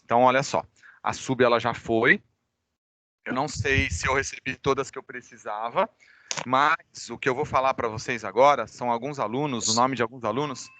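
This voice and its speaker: A Brazilian man, a high school teacher with a cold, giving informal class announcements online and addressing students directly. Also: bright, forceful tone, dry recording. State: recovering from a cold. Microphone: microphone.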